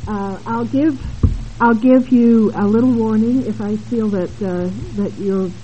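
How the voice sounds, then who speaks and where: A woman moderating a conference panel, introducing the speakers in a halting way with many fillers and asides, in a room with an audience. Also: a little high, fairly even intonation, mellow. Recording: dull lo-fi conference tape with faint hiss.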